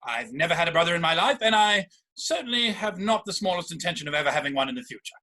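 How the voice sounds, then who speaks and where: Man playing exasperated gentleman, Victorian comedy, formal diction, high husky voice, emphatic. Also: very animated, fast talker, full character voice. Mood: pained, reluctant. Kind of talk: rehearsed reading of a Victorian stage comedy.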